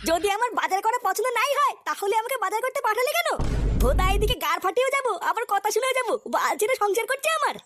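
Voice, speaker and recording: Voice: very high-pitched, expressive, fast talker, over music. An actor voicing a foul-mouthed, lazy, lecherous young married man in a comic cartoon, crude and whiny. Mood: angry, whining.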